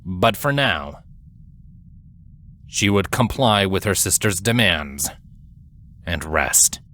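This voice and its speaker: Low-pitched man narrating a solemn story. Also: expressive, takes it slowly, sharp tone, slight background noise. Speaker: fan fiction narrator. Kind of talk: scripted dramatic narration.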